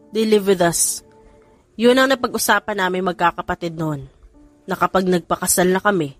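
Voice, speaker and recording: A woman's voice: lowish voice, fairly bright tone, natural voice. A woman with a Filipino accent voicing a confident, stubborn young man in love, earnest and mixing in English, in an audiobook romance. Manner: earnest, switches between Tagalog and English. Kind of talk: audiobook romance character acting.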